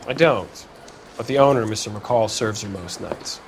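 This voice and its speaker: An actor playing a polite, obliging 1940s bartender in a video game, plain-spoken and helpful. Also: male voice, lowish voice, moderate pace, a little hiss or hum, close to an everyday voice.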